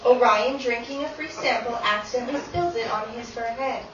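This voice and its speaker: A woman's voice: a little high, brisk, lightly acted. A woman acting as narrator, reading stage directions aloud in a wry, matter-of-fact way. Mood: wry, narrating. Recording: echoey theatre from far off, dull, noisy.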